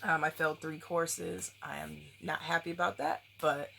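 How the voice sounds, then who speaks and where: A woman's voice: low-pitched, level tone, normal speed, vocal fry, bright, forceful tone. A woman in her thirties talking casually on camera at home, rambling with lots of ums and likes. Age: 30s. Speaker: vlogger. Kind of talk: casual book review vlog.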